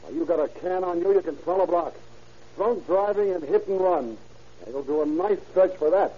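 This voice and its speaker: An actor playing a tough, hot-tempered citizen making threats in blunt slang in an old-time radio drama. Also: male voice, sits high, normal speed, warm tone, slightly rough. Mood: angry, threatening. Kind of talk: 1940s radio acting. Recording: muffled, hissy old radio recording.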